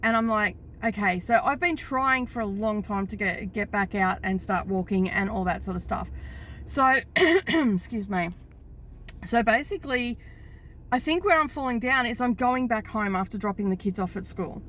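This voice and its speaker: A middle-aged Australian woman vlogging into her phone camera, chatting in a rambling way with a croaky morning voice. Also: medium pitch, animated, moderate pace, a bit bright, a little hiss or hum. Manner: rambling, chatty, lots of fillers and asides. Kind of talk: casual chat to camera.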